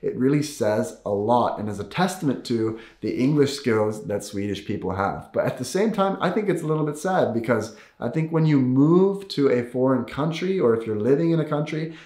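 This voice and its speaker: Male vlogger, American, mid-pitched, casual address to viewers, roomy echoing sound. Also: animated, normal speed, few pauses, darkish tone. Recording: roomy echo.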